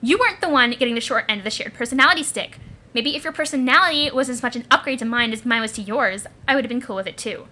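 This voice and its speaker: A woman's voice: on the high side, quick pace, sharp tone. A woman voicing an indignant, foul-mouthed ghost troll with an exasperated, sarcastic, emphatic delivery.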